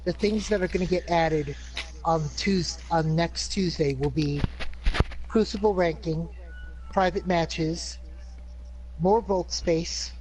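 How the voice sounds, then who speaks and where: Higher-voiced man reading off a list. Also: slow, pauses often, darkish tone, low-quality recording. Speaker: player. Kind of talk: casual chat.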